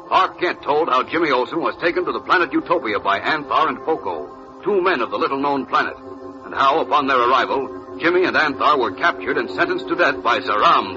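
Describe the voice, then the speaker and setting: A man's voice: medium pitch, animated, brisk. A man narrating a 1940s radio serial in a dramatic, declamatory scripted style. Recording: dull old radio recording, loud music.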